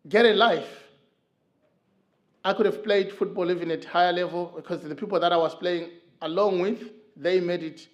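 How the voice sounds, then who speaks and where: Man from Zimbabwe, middle-aged, higher pitch, earnest church talk with halting pauses. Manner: earnest, storytelling, frequent filler pauses. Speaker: church speaker. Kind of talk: talk on men's mental health. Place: church hall.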